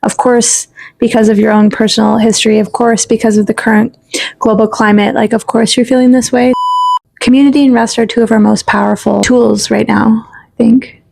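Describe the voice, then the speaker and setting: A woman with a fairly high voice and a strongly creaky, fry-laden edge. She talks casually as a co-host in a supportive conversation. Her way of speaking is gentle, affirming and reassuring. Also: level tone, normal speed. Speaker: podcast co-host. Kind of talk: casual supportive conversation about self-care.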